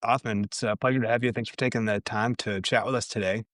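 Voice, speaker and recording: Man, podcast co-host, fast speech, dry close sound, friendly questions. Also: lowish voice, fairly even intonation, a bit bright, no room sound. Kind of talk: interview questions.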